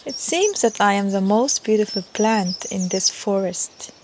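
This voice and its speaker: A woman's voice: mid-range voice, animated, measured pace, noisy background, natural voice. A woman playing a proud, vain red rose in a children's audio story, with a haughty, dismissive manner. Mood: smug.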